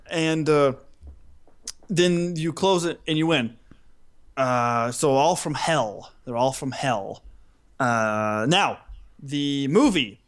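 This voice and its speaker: Higher-voiced man chatting on a podcast. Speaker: podcast co-host. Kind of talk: casual podcast chat.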